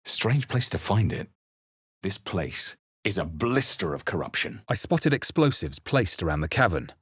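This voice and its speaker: A fantasy game hero with a low, husky voice. He is earnest and wry, telling his quest with measured, brooding drama in a close, dry sound. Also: very animated, a bit bright, no room sound, heavily acted. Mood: wry, musing. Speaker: video game player-character voice actor. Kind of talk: dramatic game narration and short character barks.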